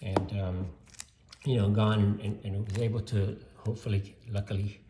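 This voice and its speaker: A man's voice: on the low side, level tone, normal speed, roomy sound, noisy background. An older man from Cuba chatting casually about his collection, rambling with lots of fillers and restarts. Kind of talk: casual show-and-tell vlog.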